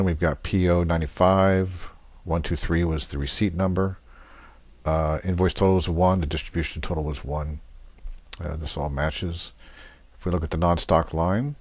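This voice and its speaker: A man giving an unscripted, matter-of-fact software walkthrough, going methodically step by step as a demonstrator on a screen recording. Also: sits low, husky, no echo.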